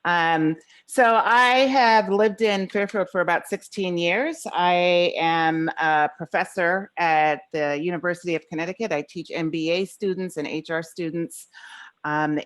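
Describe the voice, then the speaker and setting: A mature woman of fifty or more with a low-pitched voice introduces herself at an online meeting. She speaks over a video call as a professor joining a task force. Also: steady tone, a little slow. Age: 50s or older.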